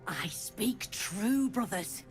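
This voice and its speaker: A woman's voice: mid-range voice, animated, takes it slowly, faint music behind. A woman playing a zealous giant spider in a fantasy game, preaching grandly and seductively to her kin. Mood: fervent.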